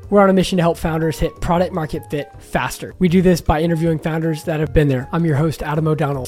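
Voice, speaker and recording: A man's voice: on the high side, a little mellow. A man hosting a startup podcast, upbeat and casual, sometimes hesitant as he frames his questions. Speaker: podcast host. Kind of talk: podcast interviewing and intro narration.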